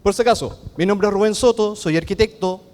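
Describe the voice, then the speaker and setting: A Chilean man with a Chilean Spanish accent giving a conference talk as a technology consultant, talking fast and checking in with the audience often. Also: sits high, a little mellow. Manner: fast-talking, engages the audience with frequent "¿ok?" tags. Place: large conference hall. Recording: long reverb of a large hall.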